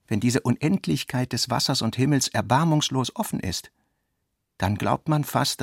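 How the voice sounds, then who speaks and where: A man reading scripted literary narration for a radio production, with a creaky voice in a measured, reflective manner. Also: medium pitch, no echo, clean studio sound.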